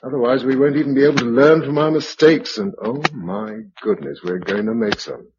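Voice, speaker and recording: An actor playing a practical, dryly humorous husband who keeps a journal after the apocalypse, in British radio drama style with measured, reflective delivery. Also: male voice, mid-range voice, noisy background, close to an everyday voice. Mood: reflective, wry. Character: practical, wry husband keeping a survival journal in a post-apocalyptic world. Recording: dull, lo-fi radio drama recording.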